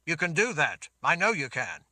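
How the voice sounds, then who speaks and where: A man's voice: fairly high pitch, big pitch swings, moderate pace, sharp tone, dry recording, pitched above the performer's own voice, heavily acted. A man playing a firm, commanding lord.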